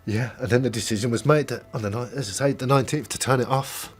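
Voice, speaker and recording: A middle-aged Irish man speaks at an ordinary male pitch, giving a solemn personal statement. His delivery halts at times, and faint music plays behind him. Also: slightly rough. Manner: halting, solemn. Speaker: bereaved brother giving a family statement. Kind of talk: personal testimony, partly recalled and partly read.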